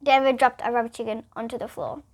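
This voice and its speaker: A female reader, reading a children's picture book aloud in an expressive, emphatic way. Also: fairly high pitch, fast.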